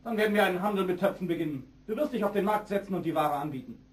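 A man's voice: sits high, racing, distant mic, natural voice. A man playing a stern, scolding minstrel husband in a fairy-tale audio play.

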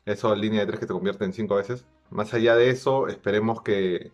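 A Peruvian man talks football informally, pausing and repeating himself as he reasons. His voice is a bit low. The recording is somewhat dull, with faint music underneath. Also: steady tone, darkish tone. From Peru. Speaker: guest football analyst. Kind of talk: informal football analysis to camera. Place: plain indoor room. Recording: dull lo-fi sound.

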